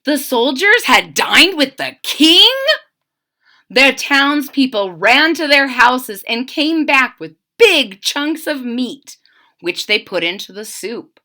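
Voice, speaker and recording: Female storyteller for kids, slow and bright, lots of melody. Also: sits high. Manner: gentle, explanatory, animated storytelling. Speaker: teacher reading aloud. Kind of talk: children's read-aloud with commentary.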